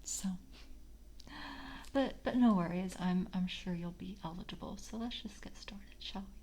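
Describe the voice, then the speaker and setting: A woman playing a polite vampire coven member in a soft-spoken ASMR roleplay, breathy and often whispering, with formal, halting courtesy. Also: lowish voice, natural voice. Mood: polite, measured.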